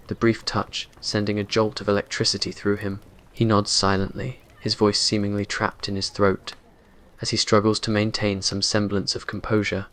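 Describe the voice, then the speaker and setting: Man, low voice, flat even intonation, scripted romance narration, ASMR story.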